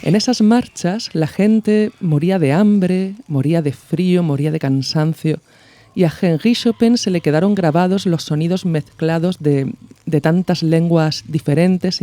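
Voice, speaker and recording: A male podcast host narrates from a script in an explanatory way. His voice sits a little high for a man, with a mellow, relaxed tone. The phrasing is measured and educational.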